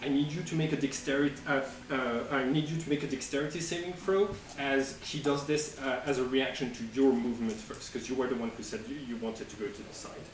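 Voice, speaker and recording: A man working as game master, improvising tabletop narration in a halting way as he searches for words. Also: mid-range voice, steady tone. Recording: roomy, distant mic, music behind. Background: music.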